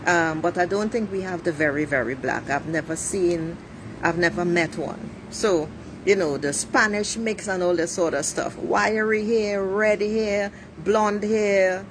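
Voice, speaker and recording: Trinidadian woman, middle-aged, blunt and preachy, slow unhurried delivery, clear traffic noise behind. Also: fairly low pitch. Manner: blunt, preachy, swears freely. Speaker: life coach livestreaming. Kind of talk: casual monologue to viewers. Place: outdoors near traffic. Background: passing cars, a siren.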